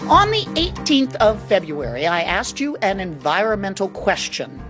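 A woman hosting a scripted radio programme in a chatty, expressive way. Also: mid-range voice, normal speed, over music. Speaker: radio show host. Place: radio studio.

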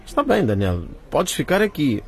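A man's voice: on the high side, huge pitch swings, moderate pace. A man playing a cautious young man in a radio drama, wary and insistent.

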